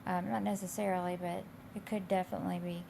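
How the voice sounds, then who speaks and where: A woman instructor with a slightly raspy, hoarse voice, explaining coursework in a casual, loosely phrased, conversational way. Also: on the low side, flat delivery, quick pace. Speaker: college instructor. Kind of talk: informal explanation of course assignments. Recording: clear background noise.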